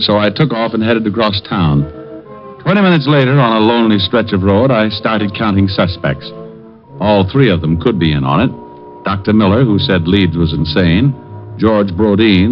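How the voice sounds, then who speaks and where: Actor as low-voiced wisecracking radio private eye. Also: male voice, close to an everyday voice. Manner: wry, slangy, tough-guy delivery. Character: wisecracking, hard-boiled, skeptical male private eye. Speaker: private detective lead and narrator. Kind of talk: 1940s radio noir acting and narration. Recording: dull vintage radio recording.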